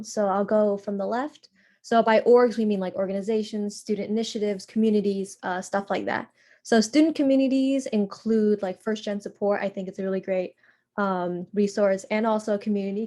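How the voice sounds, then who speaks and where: A woman's voice: medium pitch, warm tone. Chatty young woman presenting slides. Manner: chatty, many fillers. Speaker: workshop presenter.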